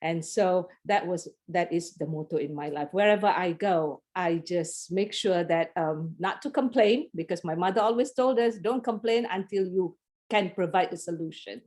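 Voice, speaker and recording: A woman's voice: lowish voice, moderate pace, darkish tone. A middle-aged woman from Malaysia with a Malaysian accent, giving a casual presentation on a video call, with many fillers and restarts. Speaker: guest presenter.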